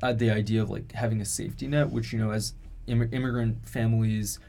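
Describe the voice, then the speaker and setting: Flat, gravelly young man in discussion. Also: lowish voice, quick pace. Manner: hedging, restarts, lots of "you know". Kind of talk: casual discussion.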